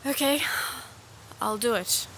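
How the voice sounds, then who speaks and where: A woman's voice: a little high, takes it slowly, fairly bright tone, a little hiss or hum, low-quality recording. A woman playing an earnest, hesitant, self-doubting young princess in a fantasy audio drama. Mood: anxious.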